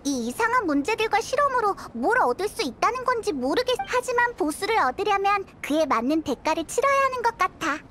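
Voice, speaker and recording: A woman voicing a tiny, curious, plucky fairy girl in a game, with a cute, childlike, expressive delivery. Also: very high voice, brisk, hardly any pauses, slight background noise, heavily acted. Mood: grumbling. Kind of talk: scripted game dialogue. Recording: loud music behind the voice.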